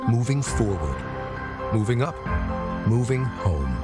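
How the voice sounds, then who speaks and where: A man narrates a scripted advert in a mid-range voice. His intonation is lively and varied, with loud music behind him. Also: medium pitch, slow pace. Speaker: commercial announcer.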